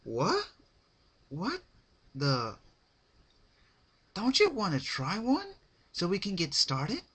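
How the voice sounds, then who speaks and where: A man voicing a giddy, menacing female party pony in a dramatic reading of cartoon fan fiction, excitable, pushy and giggly. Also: high-pitched, slow, breathy edge, pitched above the performer's own voice, heavily acted. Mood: pushy, eager.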